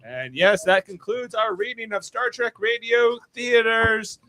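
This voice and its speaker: A man hosting a casual cast wrap-up after a podcast read, talking in a halting, rambling way. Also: extremely high pitch, pushed and bright, slight background noise, poor audio. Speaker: podcast host and cast member.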